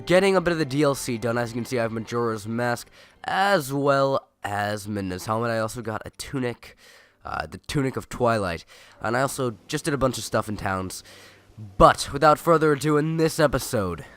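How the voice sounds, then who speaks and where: A young man with a cold, his nose stuffed and his voice raspy, rambling chattily and correcting himself as he comments on a video game. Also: mid-range voice, fairly bright tone. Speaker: let's play gamer. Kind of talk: casual gameplay commentary.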